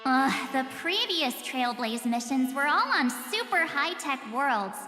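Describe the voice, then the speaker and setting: A woman voicing a playful, boastful young adventurer girl in a video game, with an expressive, dramatic delivery. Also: high-pitched, measured pace, heavily acted. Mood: enthusiastic. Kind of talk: English video game voice acting. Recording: echoey reverberant hall with music. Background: music with strings.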